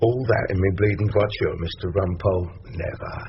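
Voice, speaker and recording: An actor playing an indignant old Cockney crook in a British radio drama. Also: male voice, low-pitched, steady tone, brisk, close to an everyday voice. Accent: London Cockney. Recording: dull lo-fi radio recording, background noise.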